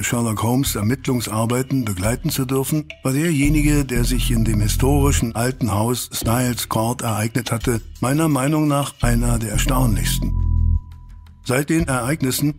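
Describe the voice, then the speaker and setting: Male actor as courteous Victorian gentleman chronicler for an audio drama, formal and literary, mid-pitched, over prominent music. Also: natural voice. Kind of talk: radio play narration and dialogue.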